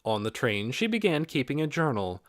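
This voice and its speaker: A man's voice: medium pitch, very animated, normal speed, no room sound, studio quality. A man reading a novel aloud as an audiobook narrator in a scripted storytelling style.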